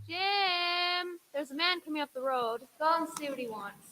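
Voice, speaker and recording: Woman as high-voiced fretful widow mother. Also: normal speed, very noisy, natural voice. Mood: urgent. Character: anxious, poor widowed innkeeper mother. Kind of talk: amateur radio play acting.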